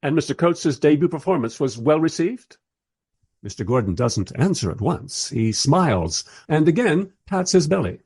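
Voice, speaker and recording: Lively, husky-voiced male interviewer-narrator. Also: fairly high pitch, normal speed, exaggerated voice. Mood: wry, observant. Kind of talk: radio play narration and interviewing.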